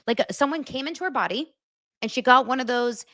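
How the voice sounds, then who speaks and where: A woman's voice: high-pitched, quick pace, bright, forceful tone, no echo. A woman hosting a daily pop culture show, chatting to her audience in a gossipy, exaggerated way. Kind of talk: casual chat to camera.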